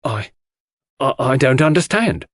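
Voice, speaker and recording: A man's voice: medium pitch, sing-song, measured pace, fairly bright tone, no room sound, studio quality, voice pushed up. A man voicing a timid, flustered shop assistant on the witness stand in an audiobook, hesitant and stammering.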